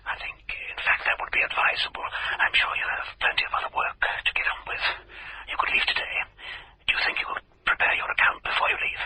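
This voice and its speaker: A man playing a curt, hushed client. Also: quick pace, whispered, close to an everyday voice, poor audio. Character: curt, secretive male client. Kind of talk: radio drama acting.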